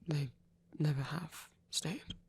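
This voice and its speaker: A man voicing a gentle, protective vampire priest in an ASMR roleplay, speaking softly and breathily in halting, earnest, tender confession. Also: a little high, steady tone, exaggerated voice. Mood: careful, earnest. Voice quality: breathy, whispery, soft. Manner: hesitant, halting, earnest delivery. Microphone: close microphone, dry sound.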